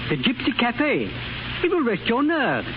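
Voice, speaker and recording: An actor playing a deferential, gently fussing British manservant in a 1930s radio drama, formal and measured. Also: male voice, extremely high pitch, wildly swooping pitch, exaggerated voice. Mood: soothing, dutiful. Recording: muffled noisy 1930s radio broadcast.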